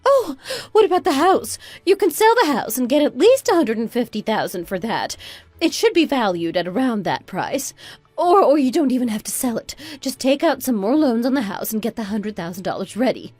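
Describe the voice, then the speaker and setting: A woman's voice: on the high side, very animated, normal speed, darkish tone, dry recording, soft background music. A woman playing a manipulative, self-pitying older mother-in-law who wheedles in a melodramatic radio drama. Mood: wheedling. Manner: wheedling, guilt-tripping. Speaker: voice actor in an online radio drama.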